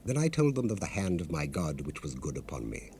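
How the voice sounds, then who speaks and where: A deep-voiced, devout servant of an ancient king, speaks in solemn, archaic scripture. His dark, mellow tone carries earnest, measured humility, as in a 1970s Bible audio drama. The cassette transfer adds light hiss. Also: sing-song, heavily acted. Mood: resolute, urging. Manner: solemn, fervent, measured, formal archaic delivery. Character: devout, grieving Old Testament royal cupbearer, earnest and humble. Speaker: actor in a dramatized Bible recording. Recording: noisy unprocessed cassette rip.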